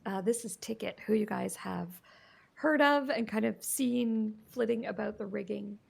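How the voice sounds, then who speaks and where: A woman narrating a tabletop game as game master, describing scenes in a halting way with many fillers. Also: mid-range voice, vocal fry, husky.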